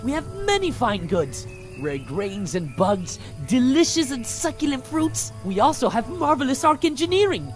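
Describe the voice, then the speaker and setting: A woman's voice: high voice, sing-song, normal speed. A woman voicing a small, eager male lizard envoy in a visual novel, with formal, flourishing, courtly speech. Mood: eager salesmanship. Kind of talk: visual novel character acting. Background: music.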